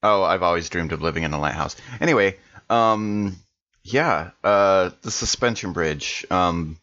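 A man's voice: low voice, unhurried, a bit bright, dry recording, muffled recording. A man chatting casually as a player in a tabletop role-playing game.

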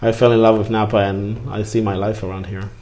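A man's voice: lowish voice, little pitch movement, fast, a little mellow. A middle-aged man from Israel, a native Hebrew speaker, answering interview questions about his work as a winemaker in earnest, non-native English full of fillers. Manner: earnest, fluent but non-native phrasing with many fillers.

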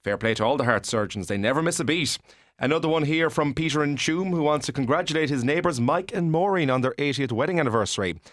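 A man's voice: on the high side, lively intonation, fast, fairly bright tone, no echo. Irish male, radio sidekick, eager, deferential, chatty, reading out messages, comedy radio play, very dry sound. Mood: chatty, obliging. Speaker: radio co-host.

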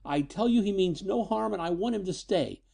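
A man voicing a frightened, high-strung but defiant young woman in a storybook reading, nervous and emotional. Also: sing-song, moderate pace, dark tone, breathy edge. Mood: angry, defiant.

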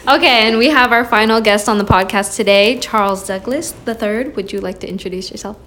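A woman's voice: medium pitch, a little fast, fairly bright tone. Female podcast co-host from Seattle; upbeat, moderating tone, faint noise behind. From Seattle, United States. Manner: upbeat, laughs easily, moderates. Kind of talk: casual podcast hosting.

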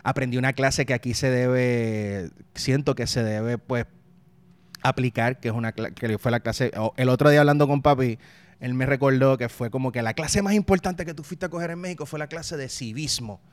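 A Puerto Rican man in his thirties speaks as an interview guest, easygoing and thoughtful. His voice is smooth and resonant, at an ordinary male pitch. He often stops and restarts, repeating words while he finds what he means. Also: steady tone, moderate pace, no room sound. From Puerto Rico. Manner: uses local slang. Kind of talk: casual conversational interview.

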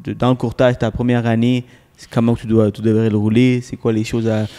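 Québécois man host, dark and flat delivery, relaxed, stumbles on repeats. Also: mid-range voice. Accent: Québécois French. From Sri Lanka. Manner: relaxed, halting with repeated words. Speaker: podcast host. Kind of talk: casual interview chat. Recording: reverberant room.

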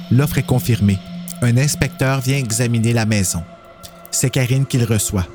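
A man with a Quebec French accent hosts and narrates a horror story. His pitch is mid-range, and music plays clearly behind his scripted reading. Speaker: host and narrator.

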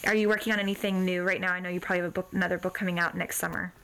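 A woman's voice: fairly low pitch, little pitch movement, racing, a bit bright, low-quality recording. A woman hosts a casual podcast interview in a chatty, encouraging way. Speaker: podcast host. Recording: faint background noise.

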